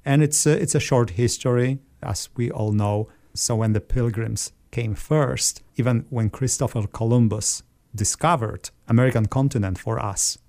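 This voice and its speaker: A man with a Polish accent speaks as an interview guest, taking his time to explain things in a measured way. His voice sits at a middle pitch. He talks in English, which is not his first language. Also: a little mellow. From Poland. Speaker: organist, guest. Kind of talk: radio interview.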